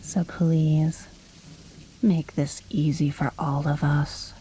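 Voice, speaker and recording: A woman playing an imperious, menacing vampire noblewoman in an ASMR roleplay, speaking in a soft, breathy half-whisper with cool, formal condescension. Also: sits low, little pitch movement, a little hiss or hum, poor audio. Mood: stern, menacing. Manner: slow, measured, coolly condescending, formal. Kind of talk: scripted ASMR roleplay monologue. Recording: rain and thunder ambience behind. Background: rainstorm with thunder.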